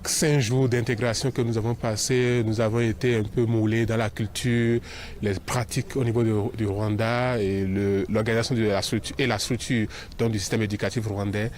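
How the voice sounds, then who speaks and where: A man's voice: on the low side, level tone, normal speed, darkish tone. Beninese man in an interview, faint noise. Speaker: volunteer French teacher, interviewee. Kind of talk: spontaneous interview.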